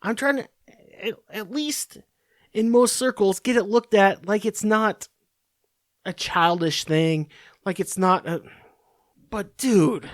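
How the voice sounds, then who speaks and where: A man's voice: sits high, takes it slowly, long pauses, no room sound, clean studio sound. A man hosting a podcast, ranting in a profane, rambling, sarcastic monologue. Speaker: podcast host.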